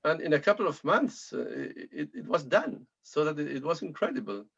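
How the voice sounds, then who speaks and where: A man's voice: on the high side, big pitch swings, normal speed, gravelly, fairly bright tone, slightly rough, dull audio. A man on a panel, speaking cheerfully about finishing a book.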